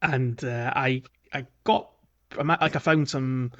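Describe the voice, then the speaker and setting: A man's voice: mid-range voice, fairly even intonation, a little slow, fairly bright tone. Hesitant man podcasting in dull lo-fi sound. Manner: hesitant, self-correcting phrasing. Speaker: podcast co-host. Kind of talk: casual gaming discussion.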